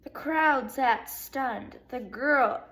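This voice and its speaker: A woman teacher reading a storybook aloud to children in an animated way, pausing to put questions to her young listeners. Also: sits high. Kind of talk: expressive children's read-aloud, speaking to young pupils.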